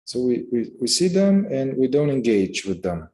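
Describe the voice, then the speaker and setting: A man leading a guided meditation in a calm, unhurried manner, speaking in short phrases with pauses between them. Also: medium pitch, rough recording. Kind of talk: guided meditation, unscripted instruction.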